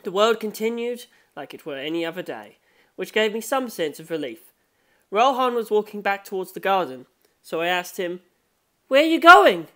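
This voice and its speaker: A woman's voice: mid-range voice, animated, moderate pace. A woman reading a scripted story aloud as an audiobook narrator. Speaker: audiobook reader.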